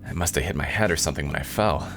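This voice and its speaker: A man's voice: very deep voice, racing, music in the background. A man voicing an angsty, self-pitying teenage schoolboy, the overwrought hero of a visual novel. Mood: bewildered, alarmed. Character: angsty, melodramatic teenage anime schoolboy protagonist. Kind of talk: visual novel read-aloud.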